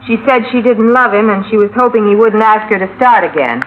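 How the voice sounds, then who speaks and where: A muffled, faintly noisy old radio recording of an actress playing a woman on the witness stand. She sounds hesitant and defensive, her pitch moving in lively swings. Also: brisk, lightly acted. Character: reluctant, defensive young female roommate on the witness stand. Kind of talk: old-time radio drama acting.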